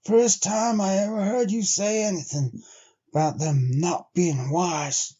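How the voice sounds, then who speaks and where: A stolid, doubting trail hand played by a male storyteller, pitched well up from a normal male register. He drawls in rustic, old-time speech and takes his time over every phrase, like a storybook frontiersman. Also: fairly even intonation, a little slow, mellow, husky, highs cut off. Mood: dry, unbothered. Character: slow, stolid, sceptical sled-dog driver, an adult man. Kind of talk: storybook character voice.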